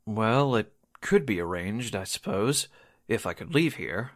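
A man acts out a reluctant official from a 1940s radio mystery. His low voice moves up and down freely as he hedges politely. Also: expressive, a little slow. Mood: hesitant. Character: reluctant, hedging male radio station official. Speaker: actor in an amateur radio drama re-creation.

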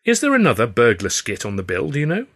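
A man's voice: mid-range voice, huge pitch swings, fast talker, fairly bright tone, exaggerated voice. A man voicing a good-natured, earnest music-hall comedian in an audiobook, easily flustered. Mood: wary. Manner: earnest, questioning.

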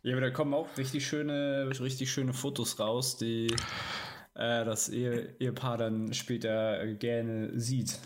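A man's voice: mid-range voice, flat delivery, a little slow, a little hiss or hum. A man hosting a casual interview, asking questions in a hesitant way full of fillers. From Baden-Württemberg.

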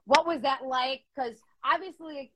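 A woman's voice: high-pitched, unhurried, sharp tone, dry recording. A woman hosting a casual interview, effusive and exclamatory as she reacts and asks questions. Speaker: interview host.

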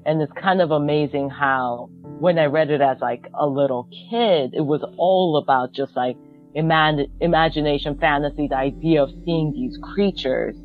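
A woman's voice: low voice, normal speed, faint music behind. Chatty woman on a tinny line. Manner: chatty, laughs often, many "like" fillers. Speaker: podcast guest. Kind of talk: casual podcast chat.

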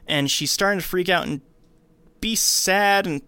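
Higher-voiced man recapping a film casually. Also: a little slow, clean tone, no echo. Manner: informal, slangy, animated retelling. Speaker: podcast host. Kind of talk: casual movie recap, retelling scenes with acted quotes.

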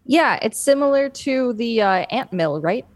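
A woman's voice: fairly high pitch, animated, measured pace, no room sound. A woman co-hosting a podcast, reading an encyclopedia page aloud with casual commentary. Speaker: podcast co-host.

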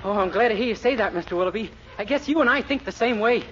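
A man's voice: sits high, lively intonation, fast. A man playing a naive, eager young store clerk with muddled logic in a 1940s radio comedy. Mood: earnest, innocent. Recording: dull, noisy old radio recording.

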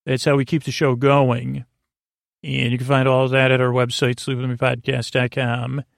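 Creaky-voiced man, rambling bedtime podcast host. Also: mid-range voice, completely flat delivery, no room sound, studio quality. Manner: meandering, halting, goofy; lulling, soothing delivery.

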